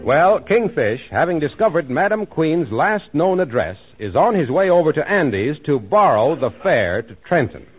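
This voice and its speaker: A man's voice: a little high, very animated, moderate pace, hardly any pauses. A man narrating an old American radio comedy in a scripted announcer style. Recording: tinny old radio recording. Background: faint music.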